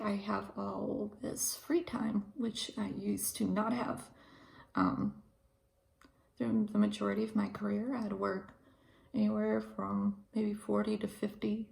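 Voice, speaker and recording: A woman in her thirties from Ukraine speaks slowly and thoughtfully, pausing often as she works through her ideas. Her voice has a heavy, gravelly fry, and her pitch sits in an ordinary middle range. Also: flat delivery, darkish tone, rough recording. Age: 30s. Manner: thoughtful, hesitant, many pauses and fillers. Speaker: pharmacist and video host. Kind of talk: reflective casual monologue.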